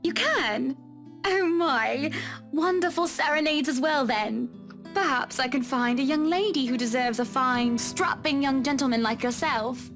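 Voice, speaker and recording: A high-voiced, genteel young wife. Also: female voice, measured pace, fairly bright tone. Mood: playful, warm. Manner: genteel, playful, teasing. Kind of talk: visual novel voice acting. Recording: music playing behind.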